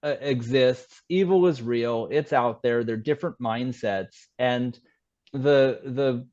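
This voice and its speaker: Male guest, conversational storyteller with a halting delivery and slightly lower pitch range, on muffled lo-fi audio. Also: darkish tone. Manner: halting, repeats words while explaining. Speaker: interview guest, author. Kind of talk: conversational interview, telling anecdotes.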